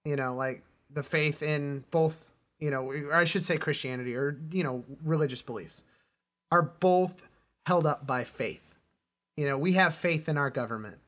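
Bright-voiced man talking films, halting delivery. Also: fairly high pitch. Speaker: podcast co-host. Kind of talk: casual film discussion.

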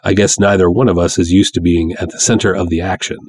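A man's voice: deep voice, level tone, fast, darkish tone, breathy edge. A man giving a dramatic first-person narration of a story, as its narrator.

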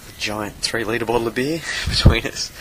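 A man's voice: mid-range voice, normal speed, fairly bright tone, a little hiss or hum, rough recording. A man casually introducing a podcast in an informal, conversational way. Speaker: podcast host.

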